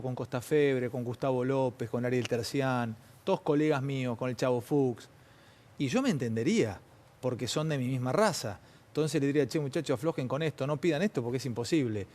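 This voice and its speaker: A man's voice: medium pitch, a little mellow. A man with an Argentine accent hosting a TV talk show, questioning his guest in a chatty, pushy way full of slang. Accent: Argentine Spanish. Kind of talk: informal TV interview. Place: TV studio.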